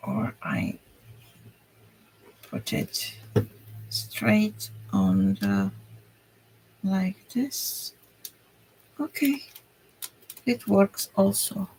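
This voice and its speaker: A woman from Romania with a Hungarian accent, chatting slowly and haltingly to her viewers while she crafts and thinks aloud. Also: mid-range voice, huge pitch swings, a little mellow, a little hiss or hum, poor audio. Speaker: crafter streaming a journaling session. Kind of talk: casual live-stream chat while crafting.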